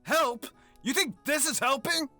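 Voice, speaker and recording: Man acting bright, exasperated, distraught father. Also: very high-pitched, a little slow, dry recording, soft background music, full character voice. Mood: angry, distraught. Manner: dramatic, scolding. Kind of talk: horror radio drama acting.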